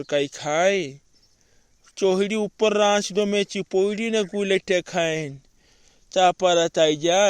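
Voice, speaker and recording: A man's voice: sits high, smooth, no room sound. A man voicing an injured old village grandfather in a comedy cartoon, rambling and repeating his rueful complaints. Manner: rambling, repetitive, drawn-out complaining. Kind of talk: amateur regional comedy cartoon dubbing.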